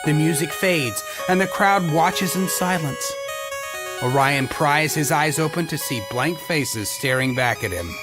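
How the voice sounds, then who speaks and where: A man's voice: fairly high pitch, unhurried. A man reading scripted story narration in an audio drama. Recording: loud music under the voice. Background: music.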